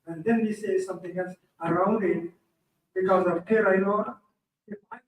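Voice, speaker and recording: A man's voice: high-pitched, fairly even intonation, moderate pace, a little hiss or hum. A man in the audience, whose first language is Pashto, pressing the speaker with insistent, challenging questions. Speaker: audience member. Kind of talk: question from the floor. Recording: roomy, distant from mic.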